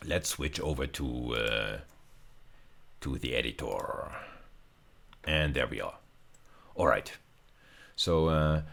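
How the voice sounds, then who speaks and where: Very deep-voiced man lecturing, creaky. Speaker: university lecturer. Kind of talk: explanatory technical video lecture.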